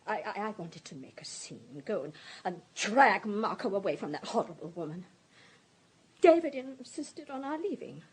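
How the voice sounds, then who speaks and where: An actress in a radio drama playing a distraught, self-pitying society woman, halting and stammering with emotion. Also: medium pitch, very animated, a little mellow, breathy edge, poor audio. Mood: agitated, confessional.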